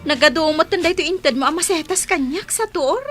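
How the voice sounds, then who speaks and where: A woman's voice: very high-pitched, fairly quick, over loud music. A woman with an Ilocano accent playing a sweet, coy young woman being courted in a radio drama.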